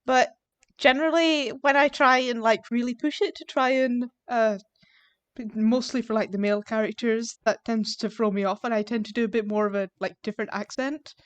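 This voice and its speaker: A Scottish woman with a fairly high voice, chatting and giggling with a friend on a live stream. She sounds close and dry on a home mic, with no room sound. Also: fairly even intonation, normal speed, clear, resonant tone, no echo. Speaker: role-play streamer. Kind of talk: casual chat on a live stream.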